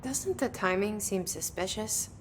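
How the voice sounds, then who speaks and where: A woman's voice: medium pitch, animated, a little slow, a little hiss or hum, natural voice. An actress playing a guarded, searching young woman with an American accent, in an understated, wry, terse way. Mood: guarded, curious. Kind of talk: naturalistic game acting, introspective monologue and dialogue.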